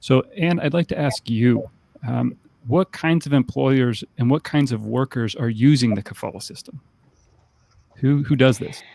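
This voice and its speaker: A man's voice: mid-range voice, a little slow, gravelly, a little mellow, dry recording. A man co-hosting a podcast panel, moderating with careful, wordy questions. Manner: careful, wordy, managing time.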